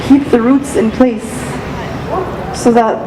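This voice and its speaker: A woman's voice: fairly high pitch, steady tone, slow pace. A teenage woman from Egypt, a native Arabic speaker, performing spoken-word poetry with dramatic, rhythmic, impassioned delivery. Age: teens. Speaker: student speaker. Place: auditorium stage. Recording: echoey hall with background noise.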